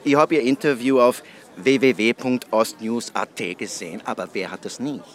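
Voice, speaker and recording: A man's voice: mid-range voice, moderate pace, close to an everyday voice. An actor playing a prim, fawning man of about thirty in a radio drama, formal and eager to please. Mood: ingratiating. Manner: obsequious, formal.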